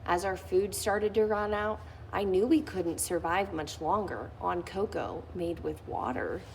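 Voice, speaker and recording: Woman reading a kids book out loud, unhurried, expressive, bit of faint hiss behind. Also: on the low side, gravelly. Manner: expressive, with lively lifts on key phrases. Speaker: reader of a children's book. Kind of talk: read-aloud storytelling.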